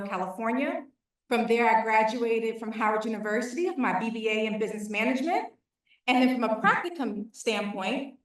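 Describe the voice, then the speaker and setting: Woman pitching in an echoey hall. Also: fairly bright tone. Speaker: nonprofit founder pitching. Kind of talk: prepared pitch speech. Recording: echoey hall, distant mic.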